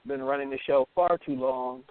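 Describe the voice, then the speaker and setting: A man's voice: medium pitch, steady tone, moderate pace, no room sound. A man hosting casual internet radio, bantering in a slangy, playful, profane way over a phone call. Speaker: radio show host. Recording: thin phone line with hiss.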